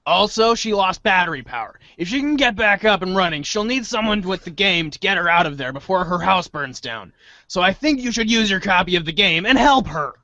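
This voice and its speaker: Guy doing a dorky earnest teen boy, high bright creaky voice, storybook play. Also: animated, brisk, dry recording, dull audio, exaggerated voice. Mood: urgent. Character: excitable, earnest, dorky teenage boy hero. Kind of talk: read-along storybook reader's theater acting.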